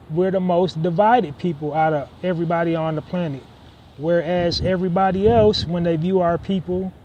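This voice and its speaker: Man, podcast host, slightly high voice, rambling with lots of fillers, faint street noise. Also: darkish tone. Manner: rambling, many fillers and restarts. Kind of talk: casual solo podcast monologue.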